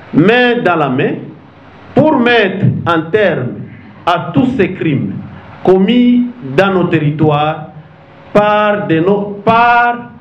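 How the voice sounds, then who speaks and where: A man with a Congolese accent reading a solemn, halting formal opening speech as an official. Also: high voice. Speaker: government official opening a conference. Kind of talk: formal speech read to an audience. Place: meeting hall. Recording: echoey room, dull sound, faint noise.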